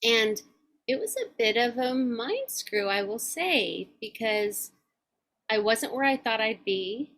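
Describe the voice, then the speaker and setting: A woman's voice: fairly high pitch, animated, fairly bright tone. A woman in her forties co-hosting a podcast, talking reflectively and earnestly in casual chat. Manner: reflective, earnest, speaks in measured thoughts. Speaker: podcast co-host.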